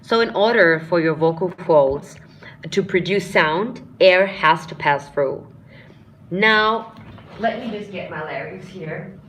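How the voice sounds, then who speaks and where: Low-voiced woman vocal coach teaching live. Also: takes it slowly, a little hiss or hum. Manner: explanatory, demonstrates sounds and short sung phrases while teaching; addresses viewers warmly as friends and dears. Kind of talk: live-streamed singing lesson answering chat questions.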